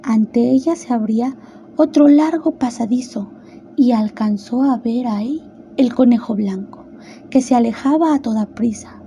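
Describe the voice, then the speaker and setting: A woman's voice: on the high side, a little slow, mellow, slight background noise. A woman narrating a scripted children's storybook tale as a radio drama narrator.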